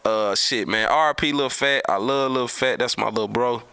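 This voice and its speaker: A middle-aged man tells street stories casually in slang, with emphatic, animated delivery and repeated words. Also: medium pitch, normal speed, gravelly, clean tone. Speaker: storyteller, host of his own show. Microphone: close podcast mic on a boom arm.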